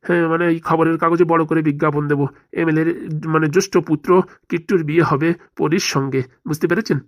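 Woman acting fawning, fast-talking cartoon politician. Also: sits low, steady tone, no echo, clean studio sound, close to an everyday voice. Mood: chatty, deferential. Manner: obsequious, wordy, deferential. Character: fawning, gullible middle-aged male local politician.

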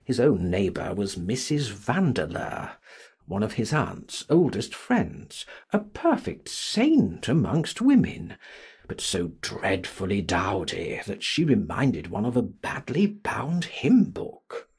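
Guy doing dramatic audiobook narration, slow n husky, very theatrical. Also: fairly low pitch. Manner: theatrical, expressive, deliberate. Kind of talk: dramatic scripted literary narration.

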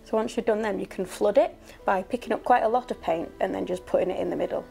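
A young woman glass painter talks softly and a little hesitantly. Her voice is mellow with some fry, over faint background music. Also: mid-range voice, fast. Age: young adult. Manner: soft, mellow and a bit hesitant. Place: glass workshop. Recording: faint music bed underneath.

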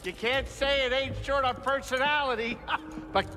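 Game comic relief: excitable little male creature, very high, piercing, in echoing hall. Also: moderate pace. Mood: jovial, teasing. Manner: jovial, exclamatory. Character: excitable, wisecracking little male alien pilot. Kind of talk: cinematic video game acting. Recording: long hall reverb, faint score behind.

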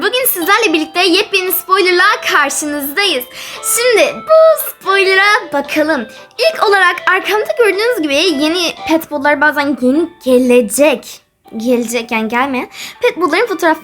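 Very high-pitched female game commentator. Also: fairly bright tone. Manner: gushing, chatty, often addressing viewers. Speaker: video game channel host. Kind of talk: casual game preview commentary to viewers.

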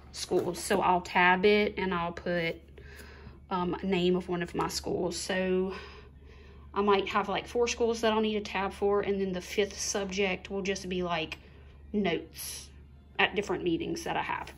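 A middle-aged woman chatting casually at her kitchen table, slowly listing the things she bought one by one. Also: mid-range voice. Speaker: vlogger, mother of two. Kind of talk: casual haul chat.

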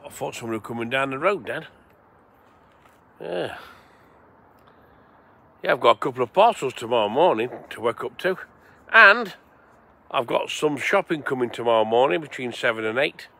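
A man from England chats to his viewers in a loose, rambling, sweary way. His voice is gravelly with a bright, forceful edge. He streams outdoors at night by the seaside. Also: fairly high pitch, expressive, normal speed, pauses often. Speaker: vlogger. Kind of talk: casual rambling livestream chat.

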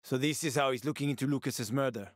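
An actor playing a wry, roguish middle-aged survivor in a video game, with a sardonic, slangy, casual way of talking. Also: male voice, medium pitch, fast, no echo, clean studio sound, close to an everyday voice. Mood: wry, matter-of-fact. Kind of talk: video game character acting.

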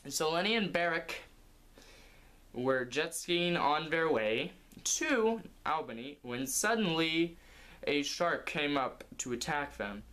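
A man's voice: fairly high pitch, animated, slow, sharp tone. A young man improvising a comic story as its narrator, recorded indoors in a living room. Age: young adult.

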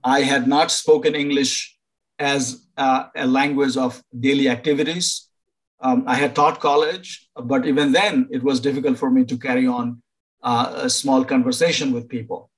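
Older man, halting talk. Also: mid-range voice, steady tone, poor audio. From Nepal. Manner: halting, many fillers and restarts. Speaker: professor. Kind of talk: informal online presentation. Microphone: video call.

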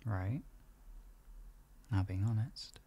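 Casting: male actor for gentle, reassuring boyfriend, ASMR comfort roleplay. Raspy, fry-edged voice, soft coaxing delivery. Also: fairly low pitch, extremely slow, heavily acted. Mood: gentle concern. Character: gentle, doting boyfriend reassuring his partner.

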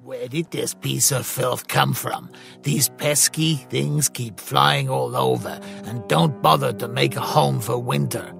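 A man voicing a callous, pompous mole in a children's cartoon, creaky and disdainful, drawing out his words. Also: medium pitch, animated. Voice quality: gravelly, creaky. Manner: slow, disdainful. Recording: music behind the voice.

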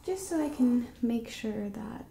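A young woman hosting an ASMR roleplay, speaking gently and softly to the listener in a hushed voice that slips into whispers. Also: fairly high pitch, lively intonation, measured pace, vocal fry, a little hiss or hum. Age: young adult. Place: small dim indoor room at a table.

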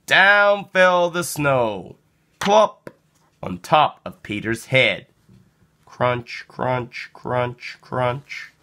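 An expressive reader tells a children's picture book aloud. The delivery is unhurried and theatrical, with a lively rise and fall in pitch. The tone is bright and clear-cut, at a middle pitch. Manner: animated, slow, dramatic delivery with acted sound words. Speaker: storybook reader.

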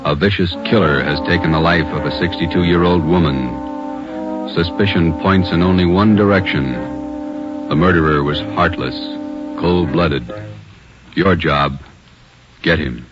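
Extremely deep man, ominous announcer, brass music behind, dull old radio sound. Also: a little slow, long pauses. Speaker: dramatic opening announcer. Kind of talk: scripted dramatic narration.

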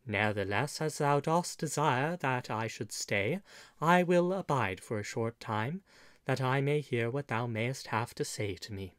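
A man voicing a soft-spoken, gentle young stranger in a storybook, languid and courteous with quiet menace. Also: mid-range voice, animated, measured pace, clean tone, no room sound, studio quality. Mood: gently mocking. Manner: languid, courteous, archaic speech. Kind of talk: audiobook storybook character.

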